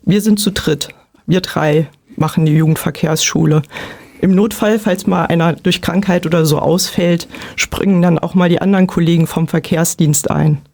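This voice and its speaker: A woman's voice: fairly low pitch, steady tone, moderate pace, a little mellow. A woman in her forties, a police road safety teacher, answering questions in an interview. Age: 40s.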